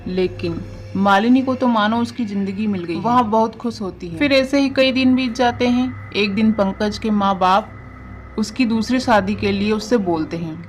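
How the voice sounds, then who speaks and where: A woman narrates a scripted story. Her voice sits at a medium pitch, and there is faint noise behind the recording. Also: moderate pace.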